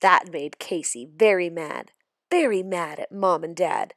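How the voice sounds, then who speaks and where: A woman reading a children's storybook aloud as expressive scripted narration. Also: lowish voice, measured pace, dry recording, clean studio sound. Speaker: storybook reader.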